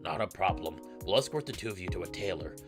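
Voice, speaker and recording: A man voicing a large, earnest, commanding furred alien royal in a game, speaking in a measured, formal and gentle manner. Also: sits low, lively intonation, over loud music, pitched below the performer's own voice. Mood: formal, assured.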